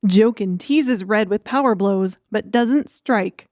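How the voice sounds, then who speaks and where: A woman's voice: medium pitch, takes it slowly, darkish tone, no echo, studio quality. A woman reading dramatic scripted audiobook narration as the storyteller.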